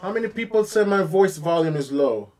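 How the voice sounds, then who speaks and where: A man teaching piano on a live stream, chatting casually with viewers and working through his setup out loud. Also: high-pitched, animated, brisk. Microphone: streaming mic.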